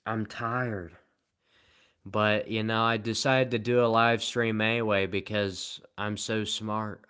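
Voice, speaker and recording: A tired man live streaming, rambling in a sarcastic, self-mocking way as he answers viewer comments at low energy. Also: level tone, slow pace, a bit bright, clean tone.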